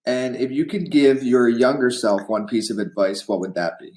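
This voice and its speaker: A man hosting a business podcast, reading an ad and putting prepared questions to his guest in a steady way. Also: medium pitch, moderate pace, poor audio. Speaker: podcast host. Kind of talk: podcast interviewing.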